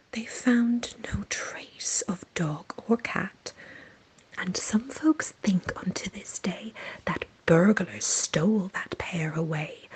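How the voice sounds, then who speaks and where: Softly whispering woman reading a poem. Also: medium pitch, slightly rough, low-quality recording. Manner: soft whispering.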